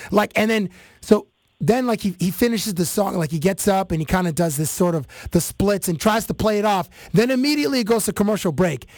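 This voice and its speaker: A Canadian man hosts a chatty interview and tells stories with animated energy and plenty of fillers. His voice sits high for a man. The sound is close and dry. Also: fairly quick, dry recording. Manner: animated storytelling with many fillers. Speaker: podcast host. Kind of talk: casual interview chat.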